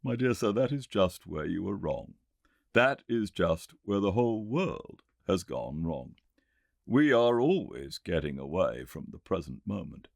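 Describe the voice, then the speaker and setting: Man playing a deep-voiced, animated British gentleman inventor. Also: gravelly, no echo, clean studio sound, heavily acted. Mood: eager rebutting. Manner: emphatic, didactic, pauses to make points. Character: Victorian gentleman inventor lecturing with eager, confident authority. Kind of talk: audiobook character dialogue.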